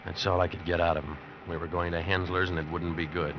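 A man's voice: deep voice, flat delivery, fast, background noise, close to an everyday voice. An actor playing a hard-luck American drifter who tells his story in a terse, brooding 1940s noir radio style. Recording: dull vintage radio recording. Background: dramatic music.

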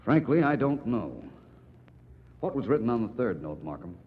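An actor playing a suave, composed detective who asks polite, probing questions in a 1940s radio drama. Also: male voice, medium pitch, very animated, normal speed, lightly acted. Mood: composed, pensive. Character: suave, composed male amateur detective, thoughtful and urbane. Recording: reverberant, muffled, faintly hissy 1940s radio recording.

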